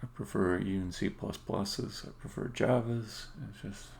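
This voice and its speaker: A man with a low, heavily creaky voice talks himself through code on a live stream. He speaks haltingly, often muttering to himself. There is faint background noise, with keyboard clicks and typing. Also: fairly even intonation, a little mellow. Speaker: game developer live-streaming. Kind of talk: thinking aloud while live-coding. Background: computer keyboard typing and clicking.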